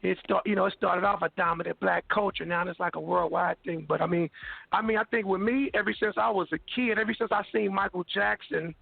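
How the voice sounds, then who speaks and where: A hoarse man talks slangy and relaxed, his voice pitched high with a gravelly, raspy edge. He comes through a dry, tinny phone line. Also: fairly quick, no room sound. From Kansas City, Missouri, USA. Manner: laid-back, slangy, laughs often. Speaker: guest rapper. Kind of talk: casual interview chat.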